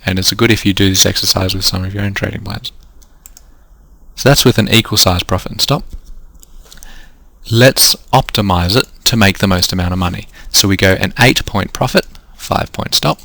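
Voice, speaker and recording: Low-voiced Australian man teaching trading. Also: normal speed, fairly bright tone, no echo. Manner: explanatory, walks through numbers step by step. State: losing his voice. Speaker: trading coach. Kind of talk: screen-recorded lesson.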